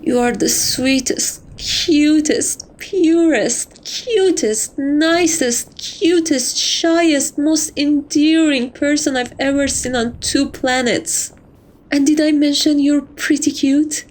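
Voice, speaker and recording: A woman playing a seductive, possessive catgirl thief who dotes on her captive, speaking softly and teasingly in a romantic ASMR roleplay. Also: high voice, slow pace, pitched above the performer's own voice. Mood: adoring, teasing. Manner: soft, coaxing, teasing, doting.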